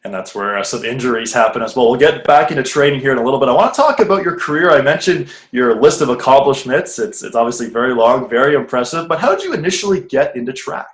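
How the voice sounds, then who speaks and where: A male podcast host with a mid-pitched voice asks friendly interview questions and often answers with short affirmations. His voice has a noticeable roomy echo. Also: lively intonation, fast talker, few pauses. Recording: echoey room.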